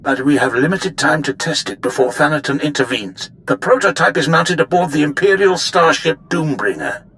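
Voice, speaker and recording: An actor playing a formal, deferential Imperial officer giving a report in a video game. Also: male voice, fairly high pitch, animated, normal speed, pushed and bright, slight background noise. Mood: dutiful.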